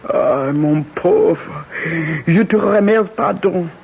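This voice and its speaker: An actress playing a tender, overwrought older French-speaking aunt with a breaking, husky voice in a radio drama. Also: female voice, fairly low pitch, takes it slowly, heavily acted. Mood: tearfully overjoyed. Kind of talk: 1970s radio drama acting. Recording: muffled vintage radio recording.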